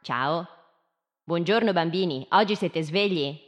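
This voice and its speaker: A woman in a live radio drama playing a gently commanding teacher, measured and questioning, with pauses between her phrases. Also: fairly low pitch, natural voice. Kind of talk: live radio drama, slow deliberate storytelling.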